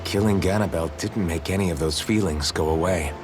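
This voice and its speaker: An actor playing a gentle, idealistic young swordsman in a video game, speaking with measured, sincere reassurance. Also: deep voice, music in the background, close to an everyday voice. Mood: gentle, reassuring. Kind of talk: video game cutscene dubbing.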